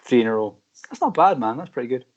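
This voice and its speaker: A man's voice: mid-range voice, animated, fast. Man, laid-back guest musician, easygoing reassuring talk, dull lo-fi call sound. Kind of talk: casual video-call interview. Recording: muffled lo-fi call audio.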